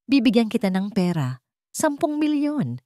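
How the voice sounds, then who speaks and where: A woman plays a wealthy young male executive in a radio drama tale. He is cold, clipped and commanding, with lively swings of pitch and a dry studio sound. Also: normal speed, no echo. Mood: cold, impatient. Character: curt, wealthy young male CEO, businesslike and impatient. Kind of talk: Tagalog radio drama storytelling.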